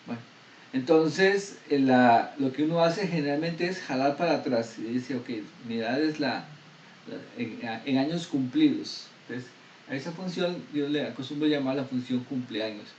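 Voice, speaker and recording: A man's voice: mid-range voice, moderate pace, darkish tone. A man giving a university math lecture, explaining step by step and asking the students questions. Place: classroom. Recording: echoey room, distant dull mic, faint noise.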